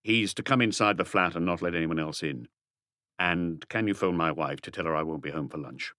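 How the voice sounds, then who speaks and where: Man playing a low-voiced, measured police inspector. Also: sharp tone, no room sound, studio quality. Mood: businesslike. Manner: terse, measured, businesslike. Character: calm, authoritative male police chief inspector questioning. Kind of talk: audiobook character dialogue.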